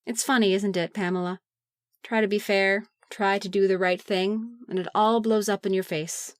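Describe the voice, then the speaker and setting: An actress playing an earnest, indignant, well-bred sister in an old-time radio drama, speaking insistently. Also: female voice, mid-range voice, fairly even intonation, moderate pace, no room sound, studio quality, close to an everyday voice. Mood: indignant, rueful.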